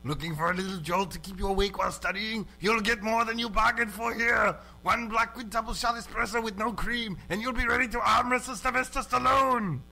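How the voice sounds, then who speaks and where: A man playing a bellowing, aggressive macho pitchman in a parody radio commercial, forceful and over the top. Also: fairly quick, gravelly, exaggerated voice, rough recording. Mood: aggressive hype.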